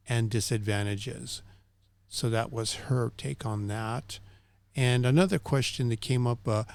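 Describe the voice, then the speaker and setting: A man's voice: mid-range voice, a little mellow, no echo. A man hosting a community recap, speaking from notes in a semi-scripted way and stumbling now and then over names and words. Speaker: host of a community recap show.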